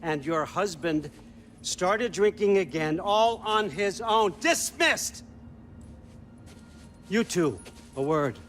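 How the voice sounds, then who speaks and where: A man's voice: high-pitched, lively intonation, slow pace, pauses often, fairly bright tone, soft background music, full character voice. An older actor playing a scheming, commanding old father god in a video game, imperious and clipped, with noise behind. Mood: commanding, irritated. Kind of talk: dramatic video game acting.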